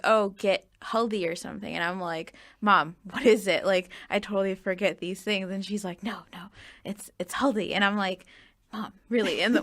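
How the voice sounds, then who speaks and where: Young woman, first language Urdu, casual talk, laughs easily, middle pitch. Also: a bit bright. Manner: chatty, laughs often, acts out quoted exchanges. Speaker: guest. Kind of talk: casual panel chat.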